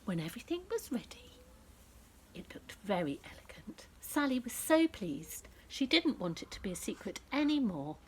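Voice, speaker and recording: A woman reading a picture book aloud to young children, speaking directly to them in an expressive storytelling style that often drops to a hush. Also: medium pitch, normal speed, slight background noise, poor audio. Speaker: children's story reader and presenter.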